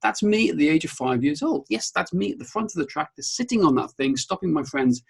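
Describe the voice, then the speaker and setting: A man's voice: fairly high pitch, expressive, brisk, gravelly, slightly rough. A Welsh man whose first language is Welsh, a strength coach giving an upbeat webinar presentation and often checking in with "okay?". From Wales.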